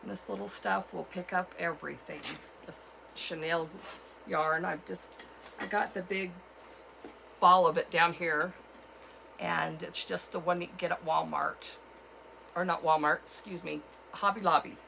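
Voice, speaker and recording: A woman with a cold and a froggy, hoarse voice, chatting casually as she walks through a craft tutorial at home. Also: lowish voice, fairly even intonation, bright, forceful tone, slight background noise, rough recording. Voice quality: froggy, gravelly. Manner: chatty, unhurried, with fillers and self-corrections. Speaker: craft channel host.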